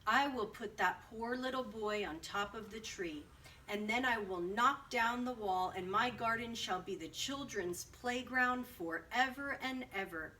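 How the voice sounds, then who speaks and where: A woman's voice: a little high, measured pace, pushed and bright, recorded from a distance, a little hiss or hum, low-quality recording. A woman reading the part of a tender, protective male giant in a storybook. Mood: remorseful.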